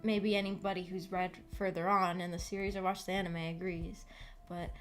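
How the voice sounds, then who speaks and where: A woman hosting a book club livestream, chatting casually and haltingly with her audience, often giggly. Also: fairly low pitch, level tone, over music. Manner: giggly, breaks into small laughs often. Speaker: host of a visual novel book club stream. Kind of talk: casual livestream discussion with chat.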